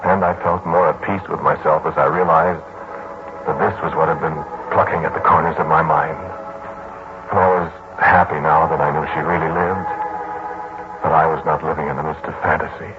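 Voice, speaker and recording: Deep-voiced, troubled radio drama man. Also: little pitch movement, music in the background. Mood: brooding, reflective. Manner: brooding, deliberate storytelling that turns pleading in dialogue. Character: troubled, kindly male lodger narrating his haunted memories. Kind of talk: 1940s radio drama acting, first-person narration. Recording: muffled, noisy 1940s radio broadcast.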